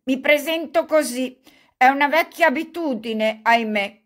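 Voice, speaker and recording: Woman putting on a loud fawning old buffoon. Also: high voice, normal speed, voice pushed up, lightly acted. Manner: loud, effusive, self-mocking clowning.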